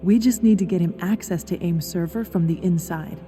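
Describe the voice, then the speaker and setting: A woman's voice: lowish voice, fairly quick, mellow, faint music behind, natural voice. A young woman playing a determined, caring corporate insider in a video game, earnest and reassuring. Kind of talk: video game cutscene acting.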